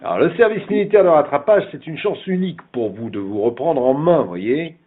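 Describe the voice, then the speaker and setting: A man's voice: fairly high pitch, lively intonation, brisk, husky. A man voicing a pompous, bullying army captain who lectures sternly and condescendingly in a prank phone call. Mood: officious, condescending. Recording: tinny, narrow phone line.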